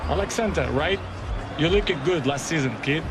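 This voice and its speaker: High-voiced confident star footballer played by a man. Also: expressive, moderate pace, a crowd in the background, lightly acted. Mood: friendly, assured. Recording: clear background music.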